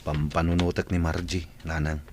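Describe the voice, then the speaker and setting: A man's voice: rumbling low, little pitch movement, moderate pace. A man playing a regretful young father in a radio drama, speaking in a heavy, subdued way. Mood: remorseful, hopeful. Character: regretful, subdued young father who deserted his girlfriend.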